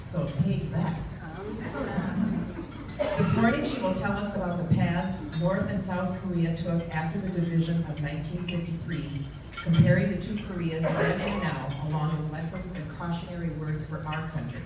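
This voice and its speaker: Woman introducing a guest speaker, low voice, steady read, far mic in an echoey room with noise. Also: moderate pace. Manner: steady, reads out credentials. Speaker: club member introducing the speaker. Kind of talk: reading a formal introduction.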